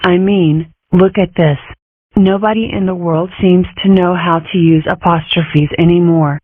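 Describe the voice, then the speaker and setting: A woman's voice: fairly low pitch, fairly even intonation, measured pace, no echo. A woman voicing an exasperated, pedantic lawyer mother in a cartoon comic dub. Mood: indignant. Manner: pedantic, lamenting. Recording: tinny, phone-like audio.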